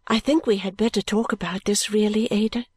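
A woman voicing a sensible, caring young woman in an audiobook, earnest and gently reasoning. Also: medium pitch, steady tone, brisk, breathy edge, dry recording, close to an everyday voice. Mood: earnestly urging. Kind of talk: audiobook character dialogue.

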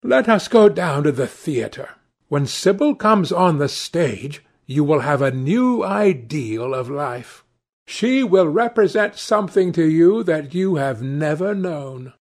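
An ardent young gentleman from a novel played by a male reader. His pitch sits high for a man and moves widely. He speaks with earnest, impetuous fervour, yet at an unhurried pace. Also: sing-song, exaggerated voice. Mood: eager. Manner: fervent, earnest. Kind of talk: audiobook character dialogue.

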